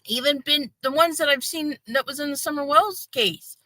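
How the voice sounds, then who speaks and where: A woman with a high, bright voice chats on a livestream. She talks with animated energy and mocks people in exaggerated sing-song voices. The sound is close and dry. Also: normal speed, no echo. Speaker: livestream co-host. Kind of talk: casual livestream chat.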